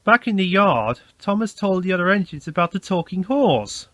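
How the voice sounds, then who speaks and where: A man's voice: sits high, big pitch swings, moderate pace, fairly bright tone, no echo. A man reading a scripted children's story as narrator.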